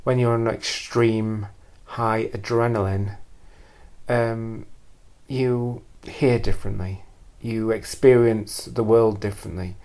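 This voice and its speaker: A man telling a first-person confessional story in a deadpan, deliberate and hesitant way, repeating phrases for emphasis. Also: on the low side. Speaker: storyteller performing a personal monologue.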